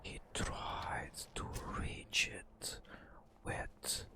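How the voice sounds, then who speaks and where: Very slow whispering man, ASMR storyteller. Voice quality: breathy whisper. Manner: slow, hushed, dramatic narration with long pauses. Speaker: ASMR gaming host. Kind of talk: soft-spoken ASMR storytelling over fantasy gameplay.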